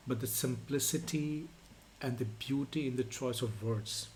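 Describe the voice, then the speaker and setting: A native Urdu-speaking man co-hosting a song reaction, talking in a reflective, halting way and often repeating words. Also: medium pitch, darkish tone, a little hiss or hum. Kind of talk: casual reaction chat.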